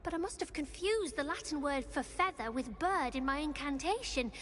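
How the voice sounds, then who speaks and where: A woman's voice: high-pitched, expressive, moderate pace, fairly bright tone. A woman voicing a polite, flustered teenage witch student with a British accent in a video game, chatty and apologetic. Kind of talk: scripted video game dialogue.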